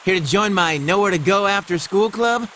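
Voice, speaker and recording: A man voicing a brash, moody high school boy in an anime game, talking in casual, expressive slang. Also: moderate pace, a little hiss or hum. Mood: casual, surprised.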